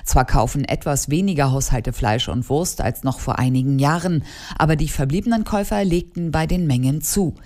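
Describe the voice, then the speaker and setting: Radio news reader, middle pitch. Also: fairly quick, dark tone. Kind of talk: scripted news reading.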